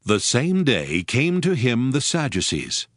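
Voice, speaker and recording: A man narrating scripture from a script, with formal, measured phrasing. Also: mid-range voice, huge pitch swings, dry recording, studio quality.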